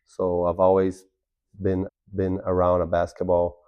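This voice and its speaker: A man's voice: sits low, monotone, unhurried, clear, resonant tone, no room sound, dull audio. A man in his thirties from Brazil, a native Portuguese speaker, answering interview questions politely and steadily. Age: 30s. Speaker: guest.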